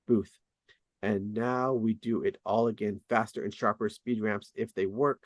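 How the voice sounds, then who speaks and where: A man's voice: fairly low pitch, dry recording. A man reading out scene directions as scripted narration at a drama table read. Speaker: reader of stage directions.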